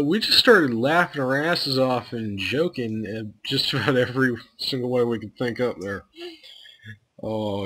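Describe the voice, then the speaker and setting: Male casual storyteller on a voice call, average pitch, crude joking delivery, swears freely. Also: pushed and bright. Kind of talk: casual anecdote telling. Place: online voice call.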